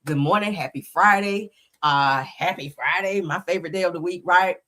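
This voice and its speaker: A woman's voice: fairly low pitch, normal speed, bright, forceful tone. Chatty woman host with a slight cold. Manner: chatty, greets viewers often, says y'all. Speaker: live stream host. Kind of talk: casual live stream commentary.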